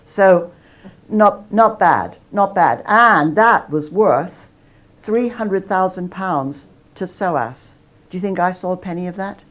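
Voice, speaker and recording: A woman's voice: lowish voice, lively intonation, takes it slowly, a bit bright, slight background noise. A woman academic speaking at a talk and answering audience questions, chatty and self-deprecating, with frequent restarts.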